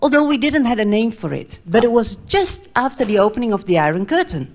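A middle-aged Dutch woman with a Dutch accent, giving an earnest talk in English in short phrases, pausing for an interpreter. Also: a little high, sing-song. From Netherlands. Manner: earnest, pauses often between short phrases. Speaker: speaker.

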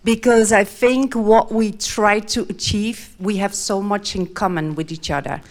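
A middle-aged Dutch woman with a Dutch accent, giving an earnest talk in English in short phrases, pausing for an interpreter. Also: medium pitch. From Netherlands. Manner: earnest, pauses often between short phrases. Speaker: speaker.

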